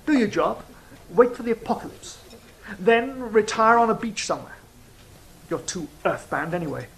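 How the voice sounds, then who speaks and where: An actor playing a sly, cynical fallen angel in an audio drama, speaking in a measured, philosophical, teasing way. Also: medium pitch, expressive, close to an everyday voice, rough recording. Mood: worldly, dismissive. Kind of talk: theatrical audio drama dialogue and monologue.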